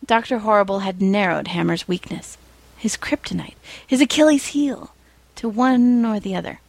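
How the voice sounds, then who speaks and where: A woman reading fan fiction aloud as an expressive storyteller, with a wry, informal manner. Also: medium pitch, normal speed, gravelly, no room sound.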